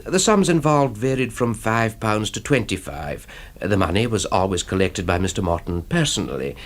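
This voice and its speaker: An actor playing a genial, fussy older bank manager in a radio drama, hesitant and formally precise. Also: male voice, on the low side, lively intonation, normal speed, lightly acted. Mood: careful, discreet. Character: fussy, genial elderly Scottish bank manager, discreetly thrilled.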